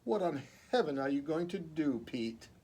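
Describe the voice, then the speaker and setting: A man reading aloud at a children's story time as a librarian, expressive and deliberate, with playful chatty asides. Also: fairly high pitch, slight background noise.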